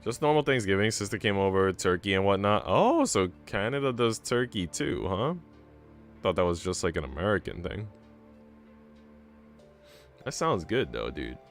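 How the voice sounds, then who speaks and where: A man's voice: low voice, very animated, moderate pace, long pauses. A man livestreaming in casual chat, talking in a slangy, profane, bro-ish way. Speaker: streamer. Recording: music behind the voice.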